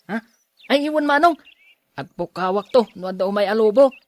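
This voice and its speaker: A woman's voice: mid-range voice, lively intonation, a little fast, dry recording, lightly acted. A woman playing a cocky, mischievous young shepherd boy in a Filipino audio drama. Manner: playful, boastful.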